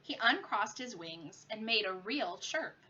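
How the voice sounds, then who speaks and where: Animated, bright-voiced woman reading aloud. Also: mid-range voice, unhurried. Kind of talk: expressive children's story read-aloud. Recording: muffled lo-fi with clear background noise.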